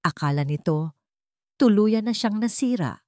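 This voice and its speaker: A woman's voice: mid-range voice, animated, unhurried, a little mellow, dry recording, studio quality. A woman narrating a scripted dramatic story as an audiobook narrator.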